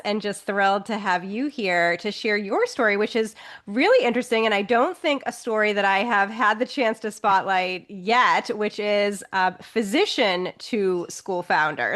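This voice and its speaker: A woman's voice: medium pitch, fairly bright tone. A woman hosting an education podcast, introducing her guest and asking questions with enthusiasm. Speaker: podcast host.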